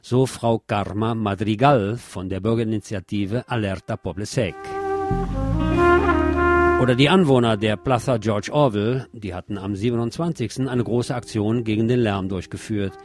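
A man's voice: on the low side, normal speed. A man hosting a radio magazine, reading scripted commentary with wry, opinionated asides and emphatic delivery. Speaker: radio show host. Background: music under the voice.

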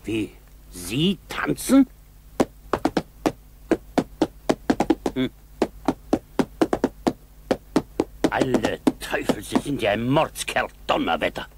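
A curt, hearty army doctor with a mid-pitched voice. He fires off questions in a blustering, theatrical sixties radio-drama manner. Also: sing-song, measured pace, creaky, very noisy. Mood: astonished, admiring. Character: brusque military doctor, incredulous but hearty. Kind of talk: 1960s German radio play acting.

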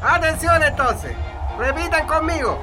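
An actor playing a sadistic, mocking Chilean military corporal in a radio drama, taunting prisoners and barking orders. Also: male voice, very high-pitched, big pitch swings, a little slow, a loud crowd, exaggerated voice. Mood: mocking, commanding. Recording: music bed under the voice.

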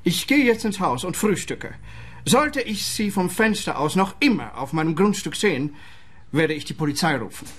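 An actor playing a smug, shady lawyer in a radio play, speaking in a pompous, self-satisfied way. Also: male voice, high-pitched, very animated, moderate pace, fairly bright tone, breathy edge, heavily acted.

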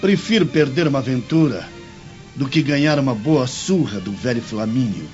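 A man's voice: medium pitch, lively intonation, normal speed, close to an everyday voice. An actor playing an exasperated, bossy young Roman man in a radio drama, emphatic and scolding. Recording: muffled audio with music behind.

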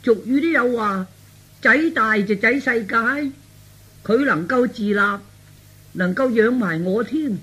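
A woman's voice: a little high, animated, measured pace, a bit bright, husky, full character voice. An actress playing an easygoing elderly mother in a radio drama, speaking colloquial, exclamatory Cantonese-accented dialogue. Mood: resigned, easygoing. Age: older. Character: elderly mother, easygoing but curious about her grown son.

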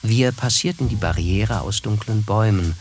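An actor as a low-voiced reflective narrator. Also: male voice, a little mellow, faint music behind, close to an everyday voice. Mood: tender, lyrical. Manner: measured, reflective, lyrical. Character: reflective, wry young man narrating his memories. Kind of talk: literary radio play narration.

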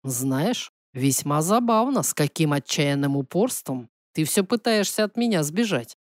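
Женщина в роли низкоголосого самоуверенного принца. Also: female voice, deep voice, animated, a little mellow, no echo, studio quality, voice pushed down. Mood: teasing.

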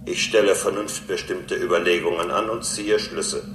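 A man's voice: low-pitched, fairly even intonation, moderate pace, a bit bright. A male actor playing a newly built robot in a radio play, coolly logical, formal and stubborn as it questions things. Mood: coolly questioning. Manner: formal, stubborn, matter-of-fact. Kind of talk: German radio play acting. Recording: roomy, clearly noisy radio drama recording.

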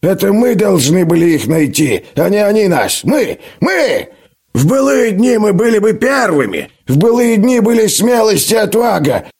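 A man voicing a bitter, raging old man with a hoarse, cracked voice in an audiobook. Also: sits high, very animated, pitched above the performer's own voice, full character voice. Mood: fierce. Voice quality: hoarse, creaky. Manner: shouting slow and cracked. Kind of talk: audiobook character voice.

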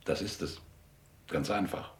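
An actor playing a middle-aged, elegant mobster in a German radio drama, businesslike and coolly threatening. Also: male voice, measured pace, natural voice. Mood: businesslike threat.

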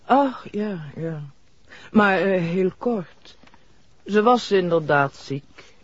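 A woman playing a reserved, mysterious doctor in a 1960s Dutch radio play, speaking formally and deliberately with some hesitation. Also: medium pitch, animated, dry recording. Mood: guarded, reflective. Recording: dull, dry vintage radio recording.

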